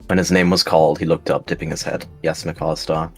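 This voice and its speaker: A man's voice: extremely low pitch, fairly even intonation, fast talker, darkish tone, faint music behind. A man narrating his part of a scripted roleplay story.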